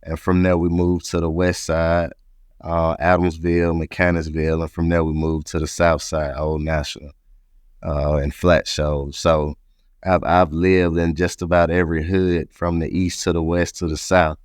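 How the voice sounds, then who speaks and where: A man's voice: deep voice, level tone, moderate pace, clear, resonant tone, dry recording, studio quality. A man from Atlanta, Georgia, speaking as an interview guest and reflectively telling his own story. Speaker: interview guest, company president.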